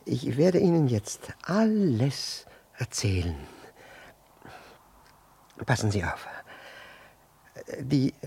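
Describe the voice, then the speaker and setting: Stammering, husky, flustered middle-aged husband. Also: male voice, medium pitch, sing-song, very slow, pauses often, a little mellow, exaggerated voice. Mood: agitated, confiding. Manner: stammering, repeating and correcting himself. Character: flustered jealous husband, pretending it concerns his friend. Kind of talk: 1960s German radio play acting.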